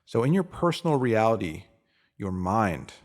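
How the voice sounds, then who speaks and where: A man reading a scripted motivational spiritual piece in a deliberate, emphatic manner, pausing to give phrases weight. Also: on the low side, sing-song, a little mellow. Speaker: narrator reading a spiritual self-help post.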